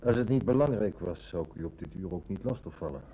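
Very low-voiced formal police inspector. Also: male voice, lively intonation, dark tone, breathy edge, full character voice. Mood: grave, formal. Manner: formal, measured. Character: polite, firm, determined male police inspector. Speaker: actor in a radio play. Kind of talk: Dutch radio drama acting. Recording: dull, noisy old radio recording.

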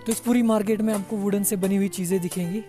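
A man's voice: high voice, fairly even intonation, quick pace, warm tone, faint music behind. A young man, giving a chatty walking-tour commentary outdoors on a busy street, slipping English words into his talk. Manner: chatty, says "yaar" a lot, mixes in English. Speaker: travel vlogger.

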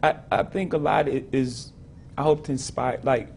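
A man in his forties, an interview guest, talking hesitantly and filling pauses with "you know". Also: mid-range voice, fairly even intonation, vocal fry, darkish tone, slight background noise. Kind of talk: casual interview talk. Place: studio set like a living room.

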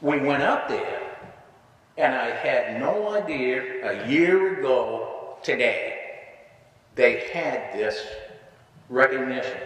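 Slow-speaking older American man in echoey hall. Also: medium pitch, fairly bright tone. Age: older, 60s or 70s. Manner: deliberate, halting phrases with pauses. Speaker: veteran speaking at a memorial service. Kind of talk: solemn memorial address and roll of names. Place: large indoor hall, at a podium. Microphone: podium microphone on a stand. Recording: very echoey hall, distant pickup.

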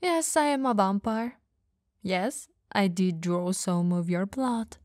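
A woman playing a teasing, dominant but caring vampire in an ASMR audio roleplay, breathy and gravelly, often dropping to whispers, coaxing and playfully condescending. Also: mid-range voice, slow pace, a little mellow, dry recording, clean studio sound, close to an everyday voice. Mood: wry, defensive.

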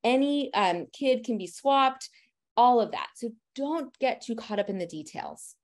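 A woman in her thirties, a family photographer, giving a lecture on posing in a lively, direct and encouraging way. She talks a lot and has a loud voice. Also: on the high side, measured pace. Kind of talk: presentation lecture.

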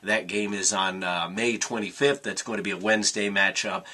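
A man in his thirties giving an animated, frustrated postmatch review from a small room into a webcam. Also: fairly low pitch, normal speed, husky. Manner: animated, frustrated ranting with gestures. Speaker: soccer fan vlogger. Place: small room with sports posters, indoors.

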